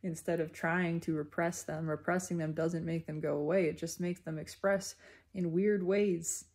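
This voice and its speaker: A woman giving a reflective spiritual monologue in a deliberate manner, pausing mid-sentence and speaking in short phrases. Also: lowish voice, steady tone, a little mellow, slightly rough. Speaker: spiritual self-reflection vlogger.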